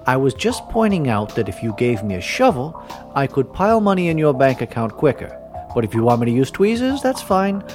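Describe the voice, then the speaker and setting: Wheedling scheming lawyer man, raised voice, lively swoops, done by a narrator. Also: medium pitch, fairly quick, faint music behind, lightly acted. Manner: wheedling, pushy.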